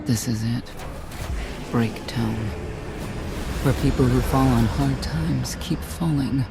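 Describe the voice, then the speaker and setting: An actor playing a stern, world-weary knight guide in a video game, speaking in a measured, grim way. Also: male voice, mid-range voice, gravelly, loud music behind the voice.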